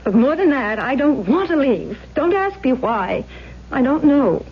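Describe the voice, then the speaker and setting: Radio drama, troubled young woman, earnest, husky, dull vintage recording. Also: high-pitched, very animated, unhurried, heavily acted. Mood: troubled, insistent. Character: earnest, emotional young aspiring actress, troubled tenant. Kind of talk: 1970s radio drama acting. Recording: dull old radio broadcast.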